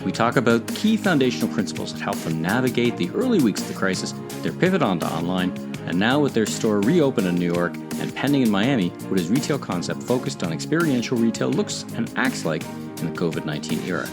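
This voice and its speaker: A male podcast host with a low voice, speaking over a steady music bed. He moves from a scripted opening into long conversational questions and corrects himself along the way. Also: fast. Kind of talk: scripted intro, then conversational interviewing. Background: music under the intro.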